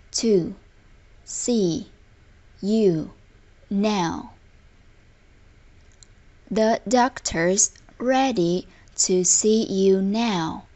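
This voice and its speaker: A woman reads out lesson phrases as a language teacher. Her delivery is extremely slow and deliberate, separating each word carefully. Her pitch sits in an ordinary middle range for a woman. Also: warm tone. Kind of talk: scripted lesson narration, slow repeated example phrases.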